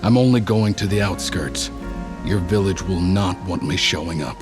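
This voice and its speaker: An actor playing a weary, brooding warlock outcast, narrating grimly and deliberately in a motion comic. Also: male voice, sits low, lightly acted. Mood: dry, reluctant. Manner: grim, deliberate, dryly understated. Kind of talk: first-person scripted narration in a motion comic. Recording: loud dramatic music bed. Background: dramatic music.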